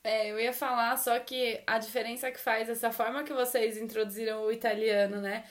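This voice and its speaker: A woman's voice: fairly high pitch, level tone, moderate pace. A woman hosting a casual interview, answering her guest with short encouraging remarks and thoughtful comments. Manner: warm, encouraging backchannels and reflective comments. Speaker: host.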